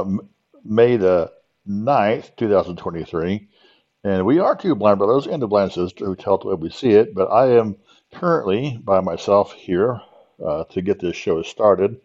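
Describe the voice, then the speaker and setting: A man's voice: lowish voice, expressive, a little slow. A man hosting a podcast in casual, unscripted chat, hesitant and rambling. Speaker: podcast host. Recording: slightly dull, lo-fi sound.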